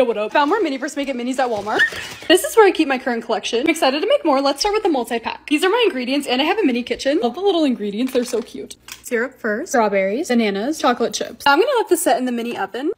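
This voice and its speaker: A young woman with a slightly raspy voice, narrating her home projects in a chatty, excitable vlog voice-over. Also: high voice, a little hiss or hum. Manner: chatty, excitable, quick asides. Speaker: lifestyle vlogger.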